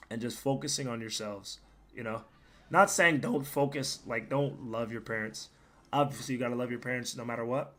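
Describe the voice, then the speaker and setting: Hoarse young man streaming a game. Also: mid-range voice. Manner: profane, rambling, bursts into loud yelling. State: hoarse, losing his voice. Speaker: gaming streamer. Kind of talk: casual live gameplay commentary.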